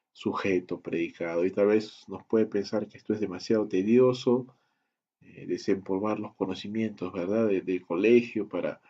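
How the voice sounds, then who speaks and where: A man teaching a Bible study lesson, in a measured, deliberate style that moves through lists and rhetorical questions. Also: low voice, dark tone, breathy edge, lo-fi sound. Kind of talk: slow, deliberate teaching lecture.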